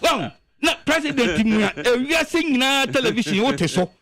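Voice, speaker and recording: A man with a Ghanaian accent giving animated political commentary on the radio, emphatic and full of repeated words. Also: very high-pitched, normal speed, crowd noise.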